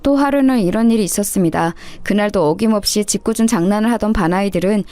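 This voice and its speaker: A woman's voice: mid-range voice, fast, dark tone, no echo. A woman reading a scripted first-person story as a narrator.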